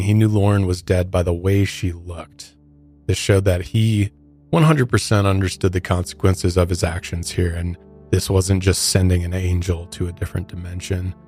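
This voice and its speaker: A man's voice: low-pitched, darkish tone. An American man hosting a podcast, telling a true crime story in a conversational narrating style. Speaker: podcast host.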